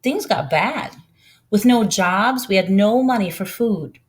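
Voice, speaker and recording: A woman voicing a proud, gentle older woman who tells her story in an earnest, unhurried way, as in a storybook. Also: mid-range voice, expressive, natural voice.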